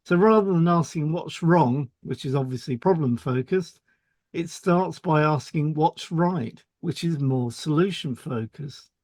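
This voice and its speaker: Man, fairly high pitch, dry studio-like sound, scripted educational narration. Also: unhurried, a little mellow, no echo. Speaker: podcast co-host, hypnotherapist. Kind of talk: scripted explanatory podcast read.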